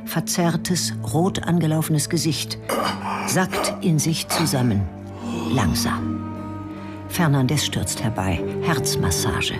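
Slow, low-voiced woman narrator. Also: over loud music. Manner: clipped, fragmentary present-tense narration. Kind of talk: scripted radio drama narration.

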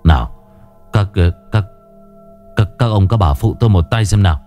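A man's voice: lowish voice, animated, normal speed, mellow, soft background music, close to an everyday voice. A man doing a stammering, grieving old village father. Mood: urgent. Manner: pleading, stammering.

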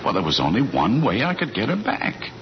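A flustered, smooth-scheming husband in a 1940s radio comedy. His low voice swings wildly up and down. The old recording is muffled and clearly noisy. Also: wildly swooping pitch, a little fast. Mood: pleading, earnest. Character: flustered fast-talking husband juggling lies, charming schemer.

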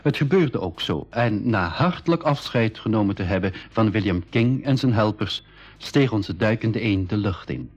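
A man's voice: lowish voice, animated, moderate pace, husky, soft background music. A man playing a storyteller who narrates his own adventures in a scripted school radio play. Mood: calm narrating. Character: male storyteller recalling his jungle adventures. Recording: dull, lo-fi 1980s radio recording.

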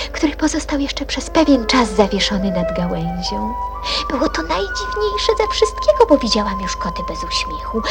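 An actress playing a curious, polite and spirited little girl in a radio play, telling her adventures with wonder and slight indignation. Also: mid-range voice. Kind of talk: radio play acting and narration.

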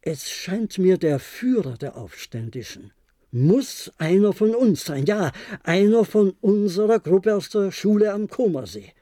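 An actor playing a weary, pensive old man in a German radio play, speaking in a halting, deliberate way. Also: sits high, animated, dark tone, no room sound, studio quality. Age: older. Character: weary old former diplomat, pensive and insistent.

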